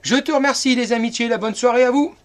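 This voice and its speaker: A man's voice: very high voice, steady tone, fast. A middle-aged man from France chatting casually as an amateur radio operator.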